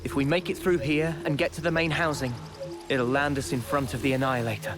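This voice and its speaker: A man voicing a calm, earnest young male soldier leader in a video game, explaining things in a measured way. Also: mid-range voice, music in the background, close to an everyday voice. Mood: steady, resolved. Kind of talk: video game cutscene dialogue.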